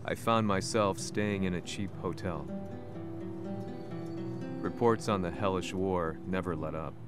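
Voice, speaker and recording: An actor playing a weary war reporter who narrates his story in a somber, measured, reflective manner for a video game. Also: low-pitched, fairly even intonation, music in the background.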